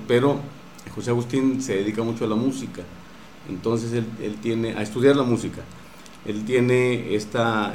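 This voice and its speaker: A man with a Mexican accent explaining things in an interview, pausing often to search for words. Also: fairly low pitch, a little mellow. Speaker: radio drama producer at a university station. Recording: roomy echo with faint background noise.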